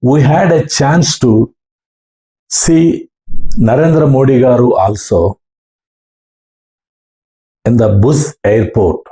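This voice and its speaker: A man with a South Indian accent recounting his experience as an amateur radio operator in halting, earnest short phrases. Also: mid-range voice, dark tone. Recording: roomy, echoing room sound.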